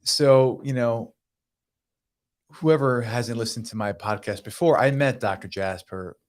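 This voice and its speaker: A man's voice: medium pitch, a little slow. A man in his thirties whose first language is Croatian, a pain doctor chatting as a podcast guest, enthusiastic with many fillers and restarts. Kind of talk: casual podcast conversation.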